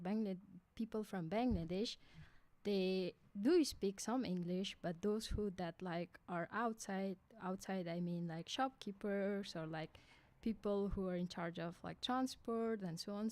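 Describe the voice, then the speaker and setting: A young woman from Afghanistan with an Afghan accent, a radio guest talking in a hesitant way with lots of fillers, into a boom mic in a studio. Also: a little mellow. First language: Hazaragi. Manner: hesitant, many fillers like "like" and "kinda". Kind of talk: casual interview answers. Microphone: boom mic with headphones.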